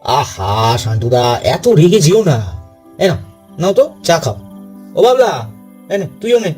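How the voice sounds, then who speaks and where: An actor playing a placating, easily flustered village tea seller in an audio story. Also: male voice, fairly high pitch, very animated, slow, darkish tone, faint music behind. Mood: friendly, placating. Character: friendly, eager-to-please, nervous male village tea seller.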